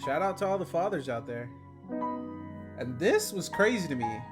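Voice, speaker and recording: A man's voice: on the high side, sing-song, normal speed. A young man giving casual, slangy video game commentary with a wry manner. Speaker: gaming content creator. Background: game music.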